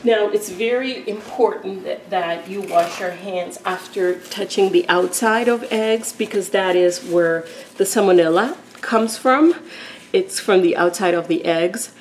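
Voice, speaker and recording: A woman's voice: fairly low pitch, a little mellow, a little hiss or hum. A woman from Argentina talking through a recipe step by step as she cooks in her home kitchen. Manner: chatty, explains steps as she cooks. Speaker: cooking vlogger. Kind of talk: casual cooking demonstration.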